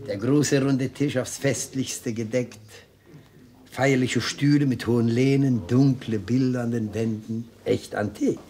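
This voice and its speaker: An aged defence lawyer with a creaky, mid-pitched voice. He is courteous and expansive, a fussy connoisseur who likes to counsel caution, in the manner of classic radio drama. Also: dark tone, a little hiss or hum. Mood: savouring, genial. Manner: courteous, savouring, expansive, admonishing. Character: elderly retired defence lawyer, genial, fussy, cautionary gourmet. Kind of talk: German radio play acting.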